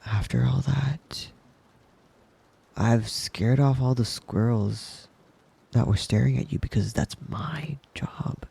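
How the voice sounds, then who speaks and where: A man playing a devoted, possessive young wolf-boy lover in an intimate ASMR roleplay, tender and breathy, often slipping into a whisper. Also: medium pitch, warm tone, heavily acted. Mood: playful, doting. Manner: tender, doting, slow and lingering with pet names. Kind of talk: intimate ASMR roleplay, soothing bedtime murmur.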